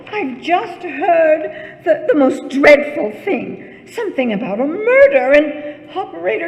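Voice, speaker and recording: Very high-pitched, fretful invalid wife. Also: female voice, heavily acted. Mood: agitated, pleading. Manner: fretful, flustered, indignant, melodramatic. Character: frail, nervous, imperious invalid wife alone at home. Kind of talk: old-time radio thriller acting. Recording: echoey hall with long reverb.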